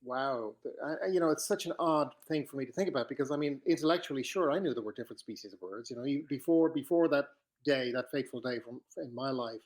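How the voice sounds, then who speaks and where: A man in his fifties with an Irish accent, talking in a relaxed, unhurried way as a podcast guest. He is reflective, often pausing and restarting his sentences as he recalls his memories. His voice sits at an average pitch for a man. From Ireland. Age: 50s. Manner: reflective, with frequent restarts and pauses. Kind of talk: relaxed conversational storytelling.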